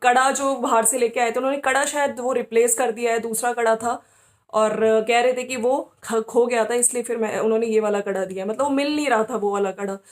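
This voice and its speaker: Higher-pitched woman giving casual commentary. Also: steady tone, brisk. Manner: conversational, often seeking agreement with tag questions. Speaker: YouTube commentary host. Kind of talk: casual opinion commentary to camera audience.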